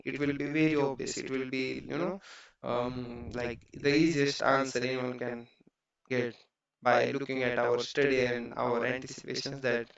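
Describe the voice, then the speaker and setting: A man with an Indian accent, English not his first language, talking casually as a trading streamer at home, halting with many fillers and restarts. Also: medium pitch, vocal fry, reverberant, dull audio. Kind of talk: casual talk while studying charts.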